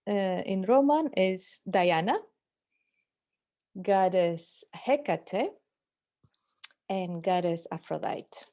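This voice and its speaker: A woman leading an online workshop, speaking gently and earnestly over a video call. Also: mid-range voice, creaky. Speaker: workshop leader. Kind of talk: online group workshop talk.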